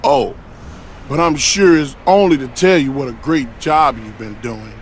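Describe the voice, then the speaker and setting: An actor playing a mocking, gloating wrestler who taunts with false friendliness in a video game cutscene. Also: male voice, mid-range voice, unhurried, slight background noise, lightly acted.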